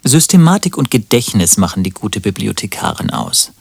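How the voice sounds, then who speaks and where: A man playing a lovesick, wry radio-play protagonist who speaks in a deadpan, literary way. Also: lowish voice, normal speed, creaky, husky, no echo, clean studio sound, exaggerated voice. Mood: dry narrating. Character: lovesick, melancholy, wry man narrating his own absurd story. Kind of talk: German radio play acting and narration.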